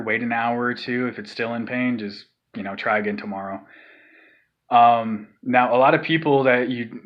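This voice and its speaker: A man's voice: mid-range voice, highs cut off. Man rambling through a vocal tutorial. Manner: rambling, loose, filler-heavy, demonstrates breaths and sighs. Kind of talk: casual spoken tutorial.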